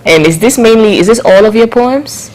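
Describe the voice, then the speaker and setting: A woman hosting a TV interview in a conversational way, rephrasing her questions as she goes and offering soft affirmations. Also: a little high, lively intonation, normal speed, low-quality recording. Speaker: TV interview host.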